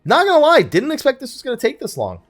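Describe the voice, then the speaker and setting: A man's voice: very high-pitched, huge pitch swings, fast talker. A man hosting a let's play with casual gaming commentary, thinking aloud and muttering asides to himself.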